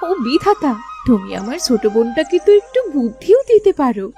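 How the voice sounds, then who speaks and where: A responsible village elder sister from a cartoon, played by a woman. Her high voice moves expressively as she frets in a motherly way. Gentle music sits underneath. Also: sing-song, brisk. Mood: worried, calling out.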